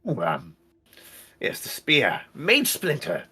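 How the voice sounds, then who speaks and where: A flustered university professor, played by a man in a tabletop fantasy game. The delivery is halting and hesitant, with a rough husky tone and pitch that wobbles widely. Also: wildly swooping pitch, pushed and bright, heavily acted.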